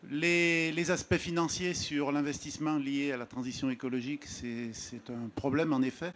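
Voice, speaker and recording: A middle-aged man takes the floor at a conference as a local mayor. His pitch is medium. He talks spontaneously, often pausing and doubling words while he looks for the right one. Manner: hesitant, repeating words as he searches. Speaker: small-town mayor. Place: conference room.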